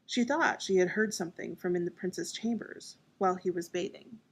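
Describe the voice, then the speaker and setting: Husky woman reading a story. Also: medium pitch, poor audio. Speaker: author and narrator. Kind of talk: scripted audiobook narration.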